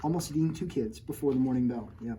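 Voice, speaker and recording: Playful man reading to kids, mellow voice. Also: medium pitch, fast, slight background noise. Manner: playful, jokey, mock-outraged, talking straight to children. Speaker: children's author reading his own book. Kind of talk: storytime read-aloud with playful asides to kids.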